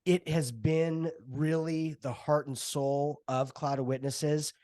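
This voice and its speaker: A man's voice: a little high, steady tone, slow, dark tone, dry recording, clean studio sound. A man chatting as a guest in a casual podcast interview, gracious and given to joking. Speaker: podcast guest, audio drama co-creator.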